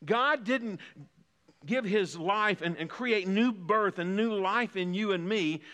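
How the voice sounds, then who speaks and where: Bright-voiced fifty-something preacher, hall echo. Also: male voice, high-pitched, takes it slowly. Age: 50s. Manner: preaching with rising emphatic repetition. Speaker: pastor. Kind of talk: sermon.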